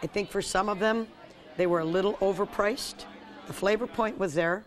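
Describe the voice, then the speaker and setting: A woman's voice: mid-range voice, steady tone, normal speed, a faint crowd, a little hiss or hum. A woman guest on a restaurant review panel, chatty and enthusiastic about the food. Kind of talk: casual chat.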